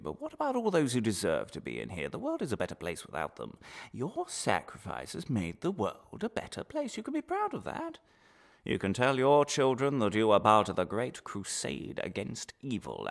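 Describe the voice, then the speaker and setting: A man playing a smooth, falsely reassuring official interrogator in a radio drama, speaking with measured, hesitant pauses and veiled threats. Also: lowish voice, wildly swooping pitch, exaggerated voice.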